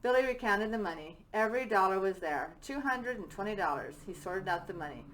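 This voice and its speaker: A woman's voice: mid-range voice, lively intonation, brisk, a bit bright, a little hiss or hum, low-quality recording. A woman teacher reading a children's story aloud as a narrator.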